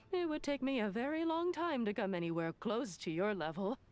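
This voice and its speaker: A woman's voice: a little high, moderate pace, a little mellow, natural voice. A woman voicing an eager, polite young aspiring businesswoman in a video game, earnest and respectful. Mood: eager, grateful.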